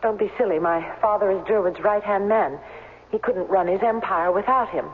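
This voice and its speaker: A woman's voice: medium pitch, moderate pace, lightly acted. An actress playing an anxious, defensive young woman in a 1970s radio drama, curt and tense. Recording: muffled, reverberant vintage radio broadcast.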